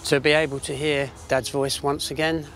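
A man's voice: a little high, flat delivery, moderate pace, a bit bright, a little hiss or hum. A man in his sixties chatting casually and reminiscing as a guest in a barn workshop. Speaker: guest bringing an heirloom for repair. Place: large timber barn workshop.

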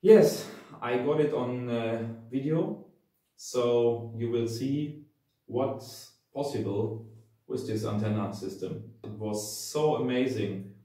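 A man's voice: medium pitch, takes it slowly, mellow. A man in his thirties with a German accent, casually recounting to camera as an amateur radio vlogger. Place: small sitting room, indoors. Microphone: camera a few metres away. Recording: echoey room, distant camera mic.

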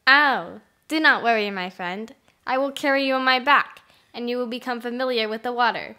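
Courteous, trusting turtle for a folktale, acted by a woman: highish, bright, forceful voice, earnest and formal. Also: moderate pace. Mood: reassuring.

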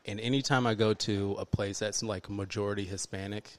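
A man in his thirties chats as a guest comedian in a low, easygoing voice. He jokes in a laid-back way and often drops into quiet, low asides. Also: steady tone, a little fast. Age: 30s. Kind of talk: casual comedy podcast chat.

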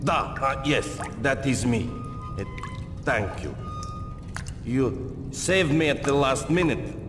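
A weary Russian ex-guard in a video game, talking slowly in halting, plainspoken English. His pitch swings widely and his voice rings with long reverb over music. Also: lively intonation. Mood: weary, wary. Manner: slow, halting, broken English. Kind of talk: video game cutscene acting.

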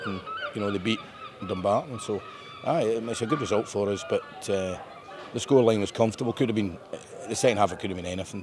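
A man's voice: on the low side, background noise. A Scottish man in his fifties, a football manager speaking upbeat and rambling after a win. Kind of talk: post-match interview. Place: bare indoor room with painted brick walls.

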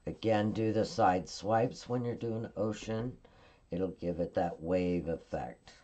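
A woman at her craft table giving a calm, step-by-step card-making tutorial while she works. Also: very deep voice, takes it slowly, dull audio. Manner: calm, instructive, narrating her hands-on work.

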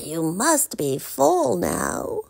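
A woman's voice: fairly low pitch, huge pitch swings, very slow, creaky, darkish tone, full character voice. A woman voicing a pushy, greedy male frog in a storybook reading, wheedling and demanding.

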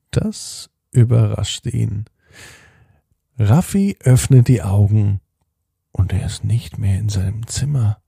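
Slow, gravelly-voiced man telling bedtime stories. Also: mid-range voice, dry recording, clean studio sound. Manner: soft, slow and soothing, speaking directly to children. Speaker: host and storyteller of a children's bedtime podcast. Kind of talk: gentle bedtime story narration.

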